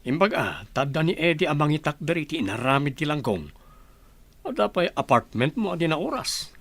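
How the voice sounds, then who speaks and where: A man's voice: a little high, sing-song, brisk, fairly bright tone, no room sound, heavily acted. A man playing a gossipy, teasing confidant in an Ilocano radio drama. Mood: admiring, teasing. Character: gossipy, teasing friend of the mistress.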